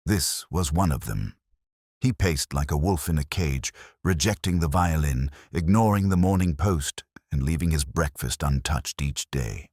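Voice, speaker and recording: A man reading a scripted detective story as its narrator, in a measured, solemn, literary manner. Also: very deep voice, darkish tone, no room sound, studio quality. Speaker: audiobook narrator reading as Dr. Watson.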